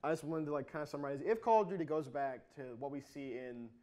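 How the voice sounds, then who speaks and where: A man's voice: on the high side, animated, fast talker, warm tone. A man, hosting a casual video game panel discussion. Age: 20s. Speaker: video game show host. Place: small TV studio.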